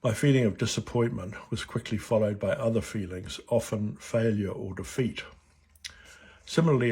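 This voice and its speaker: Older man in his 70s, New Zealand accent, gravelly, very husky, slight stutter, gentle reflective reading. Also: fairly low pitch. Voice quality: stutters at times. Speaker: board chair of a stuttering charity. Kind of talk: personal reflection read aloud.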